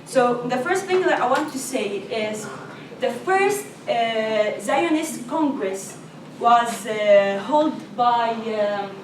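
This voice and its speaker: A young woman from Palestine, a native Arabic speaker with a Palestinian Arabic accent, giving a class presentation in a halting way, searching for words and restarting often. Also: on the high side, animated, a little hiss or hum. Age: young adult. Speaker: student presenter. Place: classroom or lecture room. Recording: echoey room, voice from far off.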